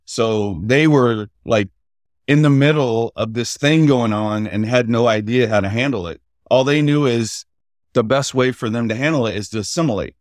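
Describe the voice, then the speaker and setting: A middle-aged man, a podcast guest, telling family stories in a halting, conversational way without a regional accent. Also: mid-range voice, dry recording, studio quality. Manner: halting, with many fillers and restarts. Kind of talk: casual interview storytelling.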